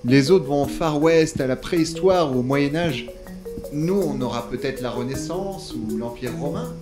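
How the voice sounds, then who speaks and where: A man's voice: medium pitch, normal speed, loud music behind the voice. Eager jittery man. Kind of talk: amateur audio drama acting.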